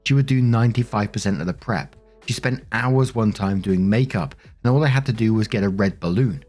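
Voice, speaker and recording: A man with a fairly low voice narrates online stories for listeners. He reads posts and comments aloud in a casual host style. Music plays faintly behind him. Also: darkish tone.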